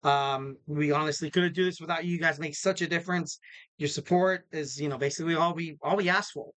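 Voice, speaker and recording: Upbeat, bright-voiced podcast host man. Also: fairly high pitch, steady tone, a little fast, dry recording. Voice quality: slightly raspy. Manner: rambling, filler-heavy, upbeat promo talk. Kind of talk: casual solo wrestling preview.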